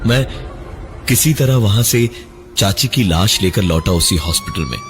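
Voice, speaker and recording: A man narrating a scripted first-person horror story in a dramatic, halting, suspenseful manner. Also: mid-range voice, wildly swooping pitch, noisy background. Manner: dramatic, halting delivery with suspenseful breaks and repeated words.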